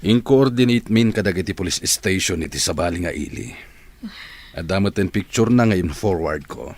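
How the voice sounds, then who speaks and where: A man playing a practical, reassuring character in an audio drama, talking in an expressive, conversational way. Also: low voice, moderate pace.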